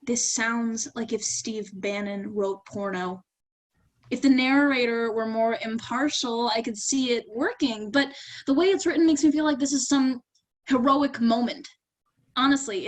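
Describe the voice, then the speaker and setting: A woman's voice: on the high side, natural voice, rough recording. A woman playing a blunt, dryly sarcastic critic in a staged play reading. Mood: sardonic, incredulous. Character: blunt, sardonic woman critiquing a would-be writer's work. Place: remote virtual staged reading.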